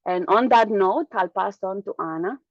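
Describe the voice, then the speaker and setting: A woman hosts an online session in an informal way. Her voice comes through a video call that sounds dry and somewhat dull, at a middling pitch. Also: normal speed, no echo. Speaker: session host. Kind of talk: informal introduction of a speaker.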